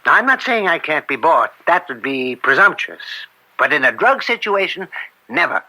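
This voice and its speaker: An actor playing an older judge in an old-time radio drama, upright and weary, speaking deliberately with a gravelly, dryly sardonic edge. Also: male voice, a little high, very animated, bright, forceful tone, no echo, heavily acted, poor audio. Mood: indignant, resolute. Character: upright, weary veteran judge and father, proud and stubborn.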